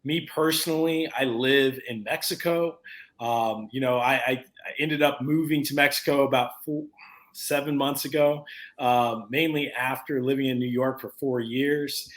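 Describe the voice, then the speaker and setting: A man's voice: mid-range voice, fairly even intonation, measured pace. A man, a sales director at an agency, introducing himself in an upbeat, friendly way. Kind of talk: casual business chat.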